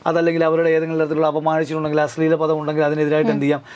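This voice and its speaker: Rapid-fire man explaining law. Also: fairly high pitch, completely flat delivery, a little mellow, highs cut off. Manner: rapid, repetitive, keeps asking himself rhetorical questions. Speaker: lawyer guest. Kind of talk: legal commentary in a studio interview.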